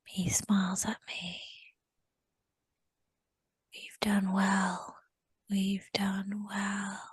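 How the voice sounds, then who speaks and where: A woman whispering a slow first-person recollection in a guided session, often repeating short phrases. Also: mid-range voice, monotone, slightly rough, no echo.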